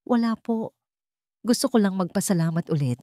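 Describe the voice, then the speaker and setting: Gentle teenage girl read by a female narrator, polite and grateful, sincere storybook delivery, dry sound. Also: mid-range voice, animated, moderate pace, no echo, close to an everyday voice. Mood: grateful, tender.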